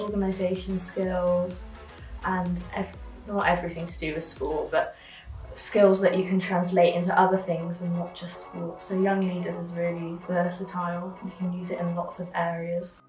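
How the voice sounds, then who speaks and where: A woman's voice: on the low side, little pitch movement, moderate pace, soft background music. A young woman speaking earnestly in an indoor room, recorded from a distance. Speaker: interviewee. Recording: echoey, distant camera sound.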